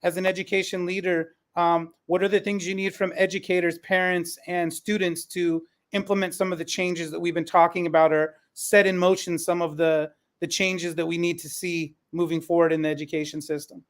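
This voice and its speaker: High-pitched man hosting a panel discussion. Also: level tone, a little fast, hardly any pauses. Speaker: podcast panel host and educator. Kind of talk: conversational panel moderation.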